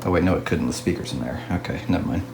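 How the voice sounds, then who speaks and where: A man narrating a casual hands-on restoration video as a hobbyist, musing aloud to himself in an unhurried way. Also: low voice, background noise.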